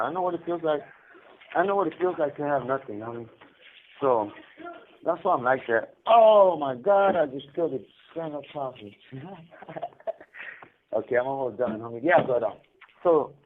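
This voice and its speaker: A man telling his story in casual, rambling street talk with slang and cursing, as an inmate on a phone call. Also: a little hiss or hum. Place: jail or prison phone line. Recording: muffled low-fi collect phone call.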